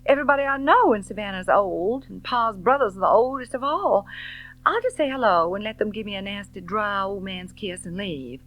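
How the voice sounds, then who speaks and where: A woman voicing a headstrong, impatient young Southern woman in an audiobook novel, brisk and emphatic. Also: medium pitch, expressive, fairly bright tone, pitched above the performer's own voice, low-quality recording.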